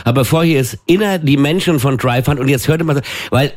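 A man's voice: a little high, a little fast, darkish tone, no room sound. A middle-aged man hosting a music radio show, chatting in a casual, slangy, jokey way as he interviews guests.

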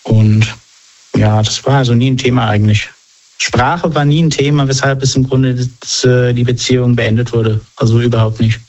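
A man answering interview questions in a casual, matter-of-fact way, pausing now and then to search for the right words. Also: mid-range voice, fairly even intonation, a little mellow. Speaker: interview guest. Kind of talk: casual conversation. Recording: dull lo-fi recording.

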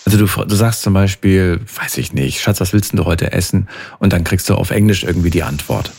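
A man's voice: low-pitched, brisk, creaky, darkish tone, breathy edge. A man interviewing a guest in a casual, chatty way, asking long and rambling questions.

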